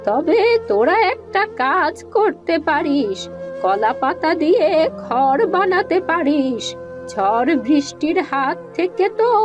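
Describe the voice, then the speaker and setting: A kindly old cartoon granny from a village. She speaks very slowly in a high, motherly, drawn-out voice, with music behind. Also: muffled recording, exaggerated voice. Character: kindly, slow-talking homeless old village woman. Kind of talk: cartoon dialogue acting.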